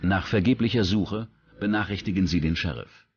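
A man's voice: low-pitched, normal speed, husky, no room sound, highs cut off. A man reading a scripted true-crime documentary as its narrator.

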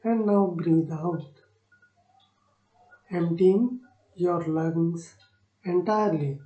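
A woman guiding a meditation in short, soothing phrases with long pauses between them. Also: on the low side, warm tone, lo-fi sound. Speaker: meditation guide. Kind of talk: guided meditation narration. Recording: roomy echo on the voice.

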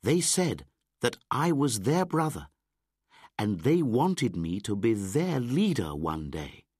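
A man's voice: medium pitch, big pitch swings, takes it slowly, husky, no room sound, pitched above the performer's own voice, exaggerated voice. A man voicing a cheeky, cross young boy raised in the jungle, in a storybook reading. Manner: raised, bright storybook child voice.

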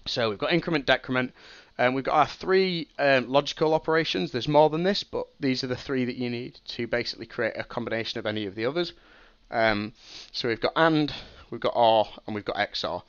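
A man's voice: mid-range voice, a little fast, few pauses, a bit bright, smooth, dry recording, lo-fi sound. A young man with a cold, sniffly as he explains a processor design on a casual live stream, thinking aloud with ums and restarts. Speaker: streamer teaching game programming.

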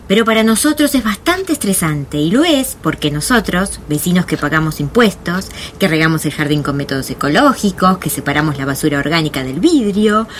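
An actress playing an anxious, indignant suburban neighbour in a radio drama, with an Argentine accent and a wry, fretful, theatrical delivery. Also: female voice, mid-range voice, a little hiss or hum, natural voice.

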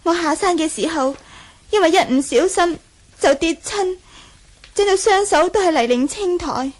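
A meek young Buddhist nun in a radio drama. The voice is extremely high, earnest and deferential, like a dutiful disciple addressing elders. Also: female voice, normal speed. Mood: earnest, reverent. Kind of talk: Cantonese radio drama acting.

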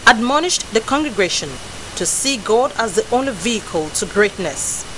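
A woman with a Nigerian accent reading a formal television news report in a measured way. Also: a little high, lively intonation. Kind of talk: scripted narration. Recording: voice-over with clear hiss.

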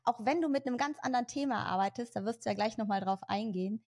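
A woman in her forties hosting a podcast interview, talking in an encouraging way with quick asides, recorded over a video call on a desk microphone. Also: fairly high pitch, a little mellow. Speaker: podcast host. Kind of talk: casual interview talk. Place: home studio, indoors.

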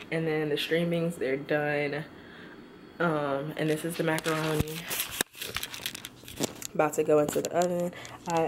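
A woman in her twenties casually narrating her cooking in a small home kitchen, rambling with many ums, filmed on a handheld camera. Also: deep voice. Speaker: vlogger. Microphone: handheld camera, close and moving. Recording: noisy kitchen with frying sounds.

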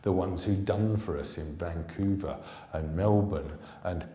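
A big, gloomy henchman from a storybook , acted out by a man reading aloud. His voice is deep and slow, his manner blunt and wary. It echoes in the room. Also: dark tone. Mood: uneasy. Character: big bull-necked male thug, gloomy, wary. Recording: roomy hall echo.

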